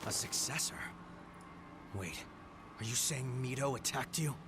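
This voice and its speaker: A blunt young fighter, a man played by an actor in a video game drama. He talks slowly and directly, with emotion close to the surface. Loud music sits under his voice. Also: medium pitch, lightly acted. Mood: startled. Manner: informal, direct.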